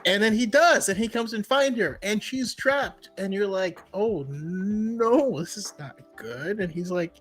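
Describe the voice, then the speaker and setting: Higher-voiced man retelling casually. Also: expressive, a little slow, few pauses, fairly bright tone, soft background music. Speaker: co-host of a fan livestream. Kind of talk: casual chat, retelling a story.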